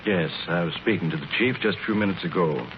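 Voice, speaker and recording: An actor playing a brisk, genial army general in a 1940s radio drama. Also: male voice, sits low, close to an everyday voice. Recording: muffled old radio recording, faint noise.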